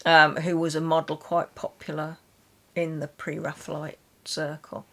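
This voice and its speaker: A woman's voice: low-pitched, flat delivery, a little slow, pushed and bright. A woman reading poetry and chatting casually about books. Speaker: guest reader on a literature chat channel.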